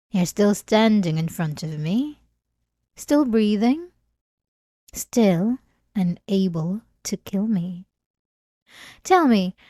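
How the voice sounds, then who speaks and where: A woman plays an obsessive, centuries-old vampire lady in an intimate ASMR audio roleplay. Her voice is dark and soft, drawn out at a leisurely pace with teasing, possessive sweetness. It sits close and very dry on the microphone. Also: on the high side, no room sound.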